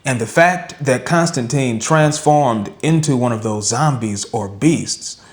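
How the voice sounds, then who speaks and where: A man with a medium-pitched voice co-hosts a reaction show. He gives conversational commentary, laying out his thoughts in a measured, orderly manner.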